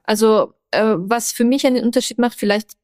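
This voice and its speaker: A young woman from Afghanistan speaking German with an Afghan accent as a podcast guest, often pausing with fillers and laughing. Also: a little high, fairly even intonation, a little mellow, no echo, clean studio sound. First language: not German. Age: young adult. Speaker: guest, human rights activist. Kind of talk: podcast interview.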